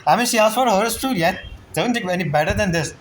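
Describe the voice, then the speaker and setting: Casual game streamer, mid-pitched. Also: animated, rapid-fire, a little hiss or hum. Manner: informal, muttered interjections and repeated phrases. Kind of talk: casual gameplay commentary. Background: faint game music.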